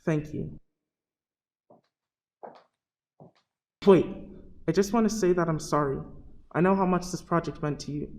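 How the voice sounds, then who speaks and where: A performer plays an apologetic father and scientist in an audio drama. The voice sits at a middle pitch, earnest and pleading. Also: fairly quick, lightly acted. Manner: earnest, conciliatory. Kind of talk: radio play acting.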